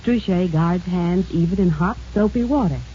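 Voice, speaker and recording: A woman's voice: lowish voice, moderate pace, warm tone. A woman reading a scripted 1940s radio advertisement with polished, persuasive delivery. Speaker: commercial spokeswoman. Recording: muffled old radio broadcast, hiss.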